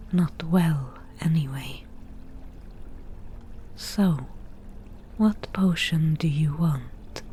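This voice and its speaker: A woman playing a wry, teasing forest witch in a softly whispered ASMR roleplay, with dry, unhurried delivery. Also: low-pitched, a little hiss or hum. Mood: grudging, wry.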